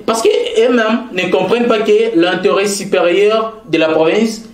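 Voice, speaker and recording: A man's voice: high-pitched, measured pace. A Congolese man with a Congolese French accent, speaking in a formal, earnest way, often repeating key phrases and hesitating as he goes. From Kasaï-Central, DR Congo. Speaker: interviewee, commenting on provincial politics. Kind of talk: formal, earnest public commentary. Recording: echoey room.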